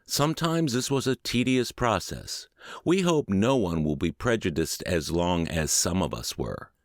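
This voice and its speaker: Low-voiced man reading an audiobook expressively. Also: lively intonation, unhurried, dry recording, studio quality. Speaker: audiobook and podcast reader. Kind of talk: scripted audiobook narration.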